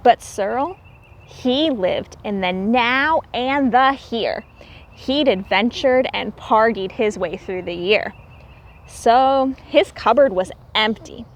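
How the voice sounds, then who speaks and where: A woman reading a story aloud to young children as a teacher, expressive and explaining things simply. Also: medium pitch.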